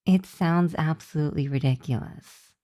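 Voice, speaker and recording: Woman doing a teasing vampire college girl, asmr roleplay, mellow slow voice, very dry close sound, playful. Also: low voice, no room sound, lightly acted. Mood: teasing, argumentative. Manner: playful, teasing, drawn-out delivery with breathy asides.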